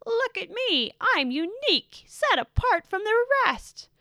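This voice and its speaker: A woman voicing a boastful, greedy male creature who rants in a loud, shrill voice. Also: big pitch swings, measured pace, no room sound, studio quality, heavily acted.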